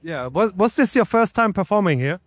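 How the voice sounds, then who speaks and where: High-pitched male radio interviewer, dry sound. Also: animated, a little fast, no room sound. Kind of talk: casual on-location interview.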